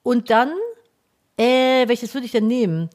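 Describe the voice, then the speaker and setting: A woman's voice: a little high, a little slow, darkish tone. A young woman from Cologne with a light Cologne accent, co-hosting a casual podcast chat in a blunt, jokey way and swearing freely. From Cologne, Germany. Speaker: podcast co-host.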